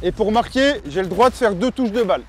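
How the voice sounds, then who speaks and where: A young man talks quickly and a touch high, teasing his teammates while pitching a deal. Music runs steadily under his voice. He keeps it light and pushy. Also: lively intonation. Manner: brisk, joking, pushy sales pitch. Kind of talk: casual vlog chat with sponsor read. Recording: background music.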